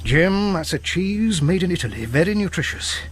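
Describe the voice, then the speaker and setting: A man's voice: sits high, animated, normal speed, slight background noise. An actor playing a genial, civilized British ship's doctor in a radio drama, genteel and confiding as he explains things.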